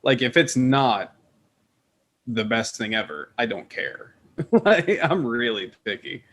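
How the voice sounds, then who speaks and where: Guy on a podcast just rambling, opinionated, swears a bit, mid-pitched voice. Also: very animated, takes it slowly, fairly bright tone. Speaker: podcast panelist. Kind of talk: casual group chat.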